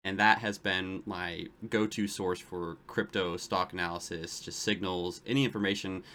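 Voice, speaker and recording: A man's voice: sits low, fairly even intonation, normal speed, pushed and bright. A man hosting a podcast, friendly and enthusiastic, stumbling a bit as he talks. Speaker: podcast host. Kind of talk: casual interview talk.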